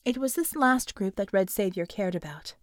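A woman's voice: mid-range voice, expressive, normal speed, no echo, clean studio sound. A woman narrating a scripted dramatic action story as an audiobook reader.

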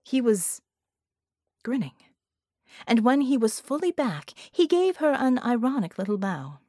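A woman's voice: on the high side, sing-song, a little slow, mellow, no room sound. A woman reading scripted fiction narration as an audiobook narrator, recorded close.

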